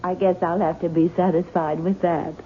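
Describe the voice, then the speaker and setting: An actress playing a hurt, doubting young wife in a radio mystery drama, plaintive and resigned. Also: female voice, lowish voice, flat delivery, normal speed, lightly acted. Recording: tinny, noisy 1970s radio broadcast.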